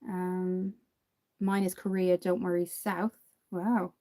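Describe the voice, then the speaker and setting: A young Dublin woman with an Irish accent chats through a makeup livestream, reading and answering viewers' comments as she works. Her voice sits low and mellow, unhurried and friendly. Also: little pitch movement. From Ireland. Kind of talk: casual livestream makeup tutorial.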